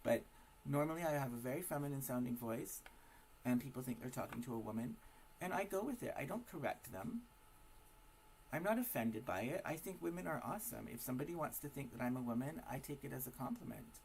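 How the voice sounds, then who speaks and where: A hoarse man with a raspy voice, giving a casual, emphatic opinion monologue to camera full of rhetorical questions. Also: mid-range voice, expressive, fairly quick, darkish tone, a little hiss or hum, low-quality recording. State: hoarse from smoking and partying. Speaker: vlogger.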